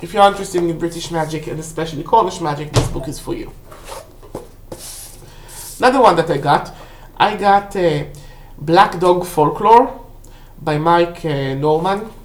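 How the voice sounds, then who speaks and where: A woman, a native Hebrew speaker, casually rambling through a book review for a vlog in a halting, self-correcting way. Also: lowish voice, slight background noise. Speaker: book haul vlog host. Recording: roomy, echoey indoor sound.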